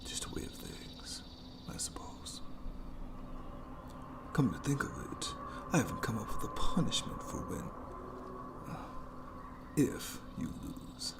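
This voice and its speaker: A man's voice: medium pitch, lively intonation, slow pace, pauses often. A man voicing a sadistic, playful monster in a horror ASMR roleplay, whispering with taunting mock courtesy. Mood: sadistic, musing. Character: sadistic, playful, menacing monster lurking in its lair.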